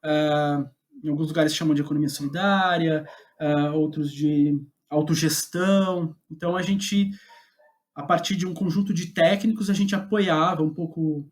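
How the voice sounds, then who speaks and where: A man with a Brazilian Portuguese accent speaking as an interview guest, casual and reflective, halting as he thinks aloud. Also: fairly high pitch, steady tone. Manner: hesitant, halting, thinking aloud with repeated words. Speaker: podcast guest, playwright.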